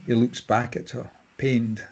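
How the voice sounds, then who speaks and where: A man's voice: mid-range voice, flat delivery, measured pace, gravelly, a little mellow, lo-fi sound, slight background noise. A man reading scripted stage directions as narrator at a table read.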